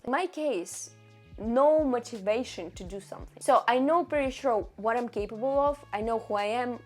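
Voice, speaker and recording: A woman who is not a native English speaker, chatting casually to camera about books in halting, friendly phrasing with restarts. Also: a little high. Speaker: book vlogger.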